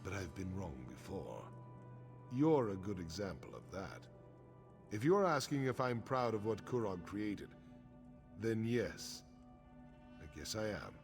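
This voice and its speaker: An actor playing a grave, honorable middle-aged orc warrior chief in a video game, speaking in a solemn, measured, frank way. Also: male voice, on the low side, very animated. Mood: reflective. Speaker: quest-giving game character. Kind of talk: scripted video game dialogue. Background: game music.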